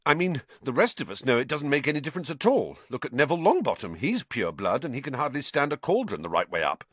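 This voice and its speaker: Man doing a hoarse, indignant schoolboy. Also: medium pitch, sing-song, quick pace, a bit bright, dry recording, voice pushed up. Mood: earnest disgust. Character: indignant, queasy young schoolboy wizard, plain-spoken.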